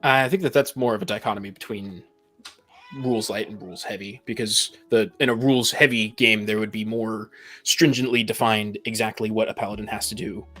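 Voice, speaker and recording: A man explaining his view in a measured, analytical way during a casual discussion. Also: fairly low pitch, fairly even intonation, a bit bright, faint music behind. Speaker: discussion participant.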